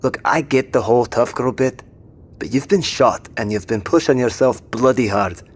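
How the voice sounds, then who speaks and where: A man playing a practical survivor who coaxes a wounded companion, steady and level-headed. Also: mid-range voice, normal speed, slightly rough, natural voice. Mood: coaxing. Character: dry, practical British male survivor companion. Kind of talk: audio drama acting.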